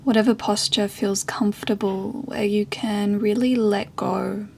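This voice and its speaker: Slow, soft woman guiding meditation. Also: mid-range voice, steady tone, slight background noise. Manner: soft, breathy, soothing delivery with long pauses. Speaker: yoga and meditation teacher. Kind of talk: guided meditation.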